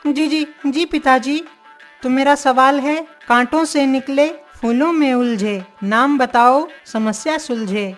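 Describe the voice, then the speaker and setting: A woman's voice: high voice, takes it slowly, faint music behind. A woman voicing a haughty, clever young princess in a Hindi cartoon story, with an imperious manner. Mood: composed.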